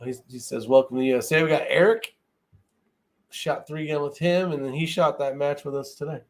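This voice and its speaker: A man's voice: a little high, fairly even intonation, fairly quick. A man hosting a casual live-stream chat, talking in an informal, loose and rambling way. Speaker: host of a shooting live chat show.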